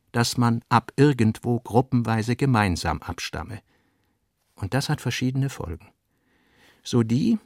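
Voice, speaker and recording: A man reading essayistic literary prose for radio with a measured, deliberate delivery. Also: mid-range voice, no room sound, studio quality. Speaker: narrator.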